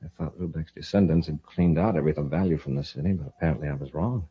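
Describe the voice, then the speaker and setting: A man's voice: very deep voice, racing, husky, full character voice. An actor playing a decisive, inquisitive male space patrol commander in a 1950s radio serial. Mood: curious, assured. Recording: muffled old radio recording.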